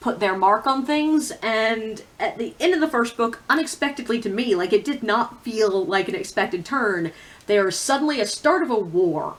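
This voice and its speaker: A woman talking casually and candidly to viewers as a book reviewer, with opinionated, informal asides. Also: fairly high pitch. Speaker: book reviewer on a video channel. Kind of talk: casual chatty vlog talk about books.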